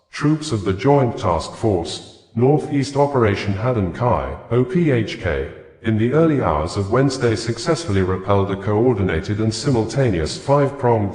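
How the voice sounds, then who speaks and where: A man reading scripted news reports in a formal, measured manner. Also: on the low side, a little mellow. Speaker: news reader. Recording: echoey, reverberant room sound.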